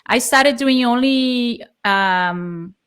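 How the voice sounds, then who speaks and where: A woman's voice: on the high side, extremely slow, fairly bright tone, smooth. A woman in her thirties from Brazil with a Brazilian Portuguese accent, a native Portuguese speaker chatting as an interview guest, hesitant with many fillers and frequent laughs. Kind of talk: casual interview chat.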